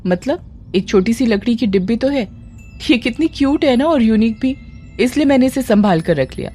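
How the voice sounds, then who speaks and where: A woman's voice: fairly high pitch, lively intonation, moderate pace, mellow, faint music behind, pitched above the performer's own voice, close to an everyday voice. A woman voicing an evasive young woman who feigns innocence in an audio horror drama, breezy and defensive in manner. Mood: breezy, dismissive. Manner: nervous when cornered, airily dismissive, over-explaining.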